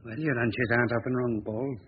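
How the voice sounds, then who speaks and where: An actor playing a blunt, dour middle-aged policeman in a 1940s radio drama, plain-spoken and gruff, on an old recording. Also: medium pitch, steady tone, a little fast, close to an everyday voice. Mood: dry, grumbling. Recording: dull, noisy 1940 radio recording.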